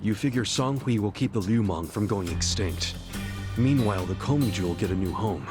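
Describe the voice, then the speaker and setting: A man's voice: on the low side, expressive, normal speed, natural voice. A man voicing an easygoing young hero who talks in a casual, joking way. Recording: game audio with music behind.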